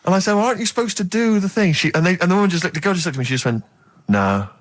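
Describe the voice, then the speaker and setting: A man's voice: high-pitched, lively intonation, rapid-fire, slight background noise. A man with an English accent co-hosting a radio show, telling casual, self-deprecating anecdotes with mock indignation. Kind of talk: casual comic anecdote and banter. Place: radio studio.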